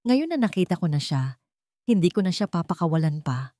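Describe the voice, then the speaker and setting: A woman's voice: on the low side, big pitch swings, brisk, warm tone, no echo, clean studio sound. A woman with a Filipino accent voicing a cold, curt, obsessed male CEO in a romance audio story. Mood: cold, determined. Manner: curt, commanding.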